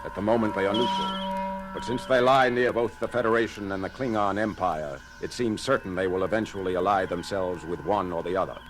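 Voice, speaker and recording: An actor playing a logical, unflappable alien science officer, speaking formally and precisely in an audio drama. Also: male voice, deep voice, fairly quick, natural voice. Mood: measured, reserved. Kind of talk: dramatic audio theater acting. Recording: music under the voice.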